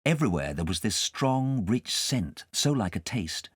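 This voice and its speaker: A man narrating a storybook as a scripted audiobook, with dramatic, expressive storytelling. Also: on the low side, unhurried, husky, no echo, studio quality.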